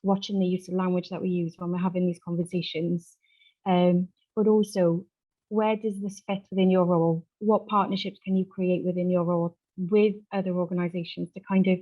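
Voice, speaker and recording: A woman speaking off the cuff as a support worker in a group discussion, earnest and halting as she pieces her sentences together. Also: on the low side, flat delivery, phone-quality sound. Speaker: support worker, survivor engagement officer. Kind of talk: unscripted contribution to a group discussion.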